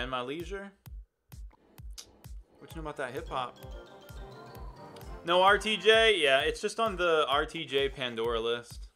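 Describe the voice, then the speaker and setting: Young man streaming a game, bright voice. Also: a little high. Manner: relaxed, chatty, reads chat aloud. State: phlegmy throat. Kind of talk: casual gameplay commentary. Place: indoor room at a desk. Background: music playing.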